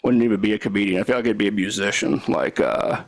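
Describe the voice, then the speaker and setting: A young man doing live stand-up with a stutter, talking slowly and haltingly with deadpan one-liners. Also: lowish voice, gravelly, dry recording. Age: young adult. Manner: slow, halting delivery with restarts. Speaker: stand-up comedian. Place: comedy club stage.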